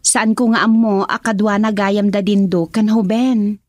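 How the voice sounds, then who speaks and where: A woman's voice: mid-range voice, steady tone, measured pace, darkish tone, no room sound, lightly acted. A woman with an Ilocano accent playing a cheerful young daughter working abroad, in a radio drama. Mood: warm. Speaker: daughter character.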